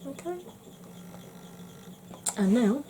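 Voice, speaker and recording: A woman from the UK, a beauty vlogger talking through a makeup tutorial in a quiet voice that keeps slipping into whispers. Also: on the high side, expressive, extremely slow, noisy background. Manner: quiet, soft-spoken, drifting into whispers.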